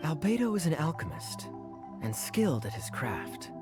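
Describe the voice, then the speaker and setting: Suave, teasing knight captain. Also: male voice, a little high, wildly swooping pitch, moderate pace. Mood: explaining smoothly. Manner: playful, sardonic, theatrical. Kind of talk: video game voice acting. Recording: music playing behind the voice.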